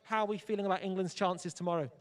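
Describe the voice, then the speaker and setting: A man's voice: sits high, fairly even intonation, rapid-fire. A young man presenting live from an outdoor fan zone, upbeat and joking as he chats with fans at their tables. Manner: upbeat, hyped, jokey with guests. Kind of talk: energetic outdoor broadcast chat.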